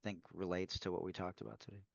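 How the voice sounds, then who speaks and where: A man's voice: sits low, flat delivery, fast talker, gravelly, no echo. A man hosting a podcast who leads the interview with brief, upbeat prompts and affirmations. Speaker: podcast host. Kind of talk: casual interview chat. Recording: dull, lo-fi sound.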